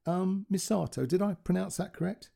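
A man's voice: high voice, moderate pace, gravelly, dark tone, husky, voice pushed up, heavily acted. A man playing a polite, chatty young policeman in a story reading, eager and curious with an apologetic, hesitant manner.

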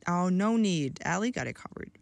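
A woman's voice: on the low side, expressive, normal speed, vocal fry, no echo. A woman playing an upbeat, helpful character full of ideas in an audio drama. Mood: conversational.

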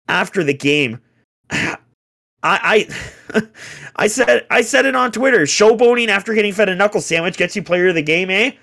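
A young man on a casual sports chat, ranting in an exasperated way with rhetorical questions, his voice going hoarse. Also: high voice, fairly bright tone. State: getting hoarse. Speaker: podcast co-host, hockey fan.

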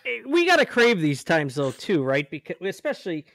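A man's voice: sits high, huge pitch swings, fairly quick, fairly bright tone, no room sound, clean studio sound. A man co-hosting a podcast, earnest and reflective, laughing easily in casual chat over a remote call. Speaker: podcast co-host.

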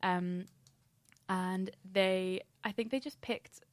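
A young woman from Scotland with a mellow Scottish accent, chatting casually and in a rambling, giggly way as a podcast co-host. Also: mid-range voice, fairly even intonation, slow, dry recording. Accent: soft Scottish. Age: young adult. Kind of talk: casual chat.

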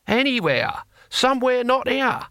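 A scrawny old barbarian warrior for an audiobook. The voice is pitched up high, cackling and sardonic. He speaks bluntly in rough, colloquial words, recorded dry and close. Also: very animated, unhurried, pushed and bright, no echo, exaggerated voice. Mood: exasperated, threatening. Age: older. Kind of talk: audiobook character voice.

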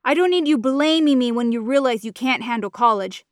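A woman voicing a big, domineering mother who yells and curses at her daughter in a storybook reading. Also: a little high, normal speed, no room sound, studio quality, lightly acted. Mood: angry, scolding. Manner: yelling, cursing, scornful. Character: harsh foul-mouthed mother, big, furious, domineering.

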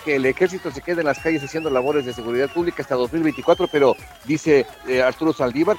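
A man with a Mexican accent co-hosting a casual morning radio chat. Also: medium pitch. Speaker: radio show co-host and correspondent.

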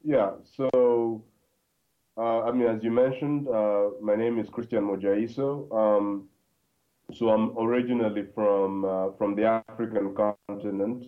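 A man from Congo, a podcast guest, telling his story in a halting way with many fillers and restarts. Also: on the low side, steady tone. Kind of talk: conversational interview. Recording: tinny phone-like line.

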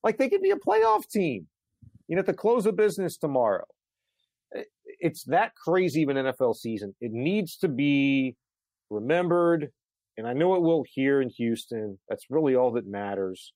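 A man's voice: mid-range voice, very animated, normal speed, a little mellow, dry recording. A man in his forties co-hosting a sports show, chatting in an emphatic, colloquial, ranting way. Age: 40s. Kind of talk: excited sports talk chat.

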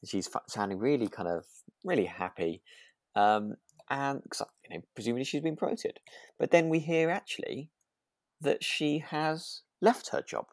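Low, dry-sounding woman. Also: moderate pace, no room sound. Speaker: podcast co-host. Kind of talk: relaxed radio drama recap chat.

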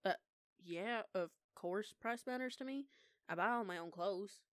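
A woman's voice: medium pitch, fairly bright tone, no echo, lightly acted. A young woman playing a defiant eighteen-year-old punk lone-wolf werewolf in an audio roleplay, with a casual, sweary, sarcastic manner. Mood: chatty, self-assured. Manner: sweary, casual, sarcastic, halting when flustered. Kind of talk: intimate one-sided audio roleplay acting.

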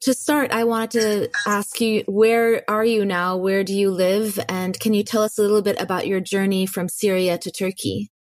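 A woman's voice: medium pitch, little pitch movement, normal speed, hardly any pauses. A woman hosting a podcast in a conversational interview style. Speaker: podcast host.

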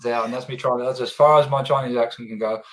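A man hosting a casual podcast interview, relaxed and often repeating words as he searches for the phrase. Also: medium pitch, fast, over a noisy crowd. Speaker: podcast host.

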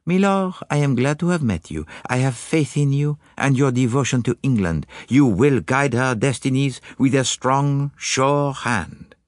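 A man's voice: mid-range voice, a little slow, few pauses, no echo, clean studio sound, voice pushed up, lightly acted. A man voicing a courtly, confident Belgian detective with a French-speaking accent and formal, precise phrasing, in an audiobook story. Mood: earnest. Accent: French-speaking Belgian, put on.

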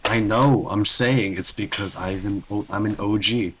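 A man's voice: fairly low pitch, measured pace, noisy background. A man co-hosting a casual, bantering chat about a video game in an informal way. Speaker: podcast co-host.

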